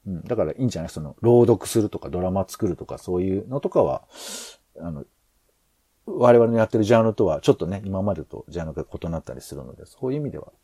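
A man's voice: low-pitched, animated, fast, warm tone, slightly rough, no room sound. A man co-hosting a podcast in casual planning discussion, hesitant and rambling as he thinks aloud. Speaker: podcast co-host.

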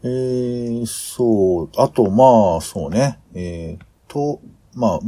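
A man's voice: mid-range voice, extremely slow, warm tone. A man in a casual planning discussion as a podcast host, speaking hesitantly as he searches for his words.

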